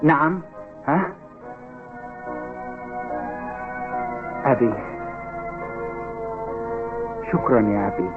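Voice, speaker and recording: An actor playing a determined, earnest schoolboy who loves football, polite but insistent, in a dubbed cartoon. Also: male voice, medium pitch, sing-song, brisk, close to an everyday voice. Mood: grateful, determined. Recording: tinny band-limited audio with music behind.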